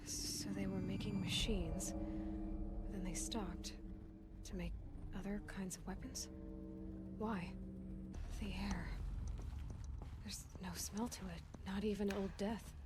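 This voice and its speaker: Hushed, curious young huntress played by an actress. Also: female voice, mid-range voice, steady tone, slow, pauses often, loud music behind the voice, close to an everyday voice. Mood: hushed wondering. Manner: hushed, wondering, thinking aloud. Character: curious young outcast huntress piecing together ancient ruins. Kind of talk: video game dialogue, musing aloud.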